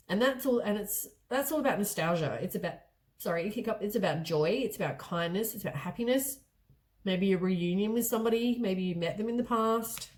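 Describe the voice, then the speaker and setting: A woman with a stuffy, congested voice from a head cold, giving a casual tarot card reading. She rambles and often corrects herself mid-sentence. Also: medium pitch. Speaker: tarot reader.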